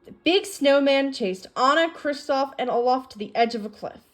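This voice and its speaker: A woman's voice: high-pitched, expressive, moderate pace. A woman reading a children's storybook aloud as a narrator. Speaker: storybook reader. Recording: faint music behind the voice. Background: music.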